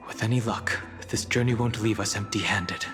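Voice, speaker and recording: An actor playing a calm, reserved young man in a fantasy video game, speaking in a measured, thoughtful way. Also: lowish voice, close to an everyday voice. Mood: pensive, measured. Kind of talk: scripted video game voice acting. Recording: long reverb, music behind. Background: game music.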